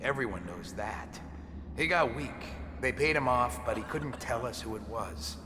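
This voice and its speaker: An actor playing a wary, gossiping prison guard in a video game, grave and confiding in a matter-of-fact way. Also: male voice, mid-range voice, expressive, normal speed, noisy background, close to an everyday voice. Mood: grimly matter-of-fact. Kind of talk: video game character dialogue. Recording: long echoing hall, loud music behind.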